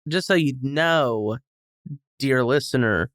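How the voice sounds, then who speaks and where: A man's voice: medium pitch, slow pace, fairly bright tone, smooth, no room sound. A man as a podcast guest, chatting casually about food with dry, joking humor. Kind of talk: casual food review chat.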